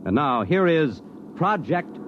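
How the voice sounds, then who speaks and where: An American man hosts an old-time radio show with solemn, measured delivery. His pitch moves dramatically up and down, and the vintage recording is dull, with clear noise behind him. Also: sing-song. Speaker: announcer and program host. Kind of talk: 1950s radio dramatic announcing.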